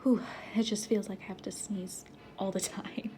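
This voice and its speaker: A woman with a stuffy nose, talking casually to her viewers, breathy and often half-whispered between sighs. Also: mid-range voice, steady tone, moderate pace, dark tone. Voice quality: stuffy nasal voice. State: stuffy nose, sniffling and sneezing. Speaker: vlogger. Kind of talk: casual talk to camera.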